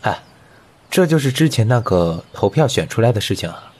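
A man's voice: lowish voice, very animated, moderate pace, a little mellow, husky. A young man playing a friendly, curious teenage schoolboy in an audio comic drama. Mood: gentle, amused. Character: friendly, curious teenage schoolboy, openly admiring his classmate. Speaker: amateur voice actor.

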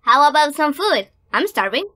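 Cartoon young witch, extremely high, animated pitch, sassy, slangy, brash. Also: moderate pace, no echo, exaggerated voice. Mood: cheeky. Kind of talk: animated parody cartoon acting.

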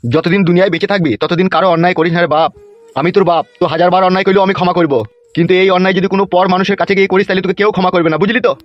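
A man's voice: sits high, little pitch movement, racing, no room sound, natural voice. A man voicing an exasperated middle-aged village father in a comic cartoon, scolding bluntly and coarsely, in a dull recording. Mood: stern, softening. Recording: dull, muffled cartoon audio. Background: faint music.

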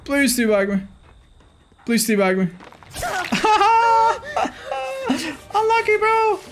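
A man in his thirties doing excitable live gameplay commentary for a chat, exclaiming and repeating phrases for emphasis. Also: very high voice, very slow, very noisy. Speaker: video game streamer. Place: indoor streaming room.

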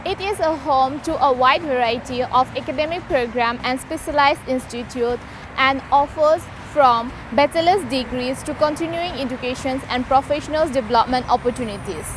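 A young woman from Manipur in India reads a campus report slowly and carefully. Her voice is high. She is outdoors, with faint background noise. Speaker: student reporter. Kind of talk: scripted narration.

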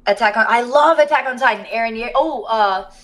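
A woman's voice: a little high, brisk, fairly bright tone. A woman in her twenties chatting eagerly as a contestant on a video call. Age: 20s. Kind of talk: casual video call chat.

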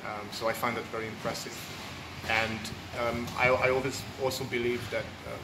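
A man's voice: medium pitch, level tone, normal speed. A man in his forties, originally from Hungary, speaking to camera in an earnest and thoughtful way with frequent ums and uhs, as a jiu-jitsu coach giving a testimonial in a martial arts gym. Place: large indoor martial arts gym with mats and people training. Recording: echoey gym with loud background noise.

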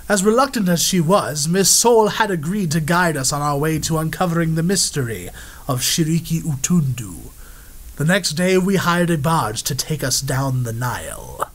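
An actor playing a proud, pompous gentleman explorer in a 1930s-style radio drama. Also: on the high side, sing-song, moderate pace. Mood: narrating. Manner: pompous, old-fashioned gentleman talk. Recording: music bed under dialogue.

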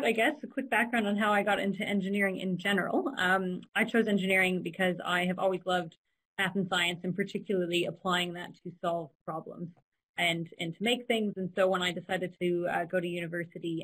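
A woman's voice: lowish voice, steady tone, brisk, a bit bright, low-quality recording. A young woman with a Canadian accent, giving a casual engineering talk over a video call, chatty with frequent ums. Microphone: laptop webcam on a video call.

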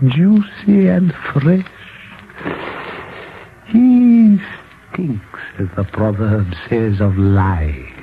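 A man's voice: fairly low pitch, full character voice. An actor playing a ruined, bitterly self-mocking old man in a 1940s radio drama, theatrically musing aloud to himself, on a muffled vintage broadcast recording.

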